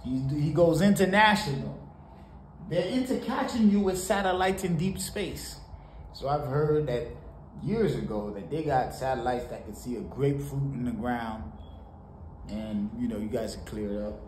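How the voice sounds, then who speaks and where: A man from Haiti giving casual, animated reaction commentary on a song in a small home studio, talking to the camera. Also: medium pitch, moderate pace. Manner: animated, preachy, sprinkled with laughs and "you know". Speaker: music reaction host. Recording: roomy home studio with faint noise.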